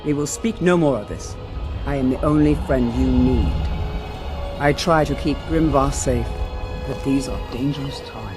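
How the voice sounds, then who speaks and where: A protective, worried caretaker from a fantasy video game. The delivery is grave, cautioning and dramatic, as if shielding a child from danger. Music plays clearly underneath. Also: female voice, very deep voice, moderate pace.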